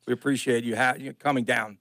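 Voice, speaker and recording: A man's voice: medium pitch, fairly even intonation, fast talker, a bit bright, no room sound, studio quality. A man hosting a sports radio show, friendly and jokey as he introduces and questions a guest. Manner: friendly, jokey, laughs often. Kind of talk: casual radio talk. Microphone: studio microphone.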